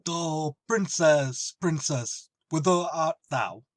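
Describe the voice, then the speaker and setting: A man playing a dim, bumbling servant who haltingly muddles his grand lines in an old-time radio comedy. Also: a little high, no echo. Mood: muddled, earnest. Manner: halting, stilted stage declaiming with spoonerisms.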